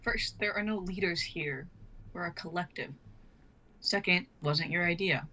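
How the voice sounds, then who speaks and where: A woman's voice: on the low side, a little slow, sharp tone. A woman voicing a level-headed, firm young crash survivor in an amateur dramatic table reading.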